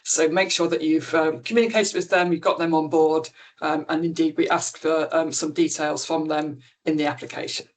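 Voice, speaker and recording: Relaxed woman hosting a webinar. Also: sits low, normal speed. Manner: relaxed, chatty, frequent ums. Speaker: webinar host and principal investigator. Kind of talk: informal briefing.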